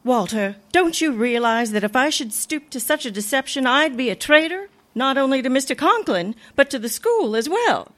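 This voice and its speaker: A woman playing a wry, sensible but soft-hearted schoolteacher in an old-time radio comedy, gently admonishing. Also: high-pitched, animated, normal speed, hardly any pauses. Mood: reproving.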